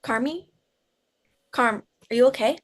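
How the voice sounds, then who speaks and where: A woman's voice: a little high, unhurried. A woman playing young female characters, a chef and a sister, at a drama script table read. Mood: gentle concern.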